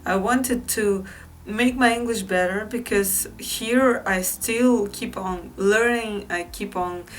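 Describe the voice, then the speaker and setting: Woman with a Russian accent, unhurried vlogging. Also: medium pitch, recorded from a distance, slight background noise. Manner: unhurried, repeats phrases, frequent fillers. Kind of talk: casual vlog to viewers.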